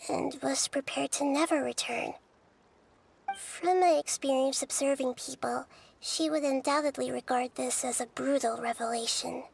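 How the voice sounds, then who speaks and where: A woman voicing a small, wise girl god who speaks in a careful, thoughtful and measured way, in game dialogue. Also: high-pitched, creaky, slight background noise, rough recording.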